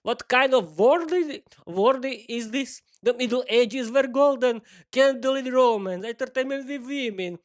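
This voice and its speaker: Guy doing a grand stuffy vampire lord, high voice, longs for olden days. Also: measured pace, hardly any pauses. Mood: pompous. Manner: grandiose, nostalgic. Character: pompous old-fashioned male vampire lord.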